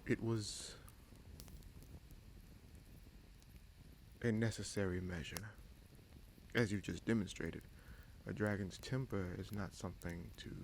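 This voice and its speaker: A man playing a bitter, scheming prince in a fantasy audio roleplay, speaking deliberately with sardonic menace and dramatic pauses. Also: on the low side, gravelly, slight background noise, rough recording. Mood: smug, controlled. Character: bitter, scheming bastard prince commanding a captive dragon.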